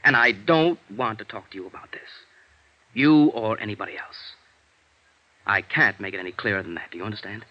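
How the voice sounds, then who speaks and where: A man's voice: lowish voice, fairly quick, close to an everyday voice. An American man acting a defiant, bitter, educated embezzler held in jail, agitated and defensive, in a vintage radio drama. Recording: dull lo-fi vintage radio recording.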